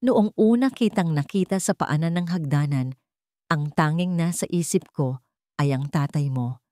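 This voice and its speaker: A woman's voice: deep voice, moderate pace, dark tone, dry recording, clean studio sound, close to an everyday voice. A woman voicing a protective, sincere man with a Filipino accent in a dramatic love story. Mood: tender confession.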